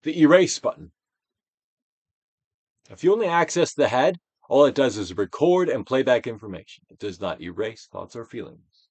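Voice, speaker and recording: A man giving a dramatic, deliberate reading of a self-help book as a guided exercise, with pauses and big swells. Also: mid-range voice, sing-song, a little mellow, breathy edge, dry recording. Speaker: audiobook reader and actor.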